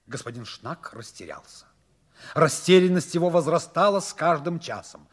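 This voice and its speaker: A man's voice: a little high, expressive, moderate pace, slightly rough. A man giving dramatic, mock-solemn scripted narration for a 1960s Soviet radio play. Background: music.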